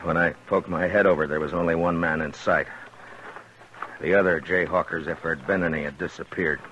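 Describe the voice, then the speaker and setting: An American actor playing a steady, terse US Marshal in a 1950s western radio drama, speaking with measured authority. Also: male voice, low-pitched, highs cut off, a little hiss or hum. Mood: grim, measured. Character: grim, steady male US Marshal of the old West. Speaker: lead actor and first-person narrator. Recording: band-limited old radio recording, music behind.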